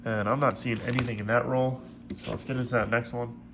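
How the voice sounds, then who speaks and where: A man with a stuffed-up, congested voice hosting a coin roll hunting video, giving steady, methodical play-by-play from his desk. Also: medium pitch, a little fast, creaky, noisy background. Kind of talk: casual hands-on commentary. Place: at a desk indoors.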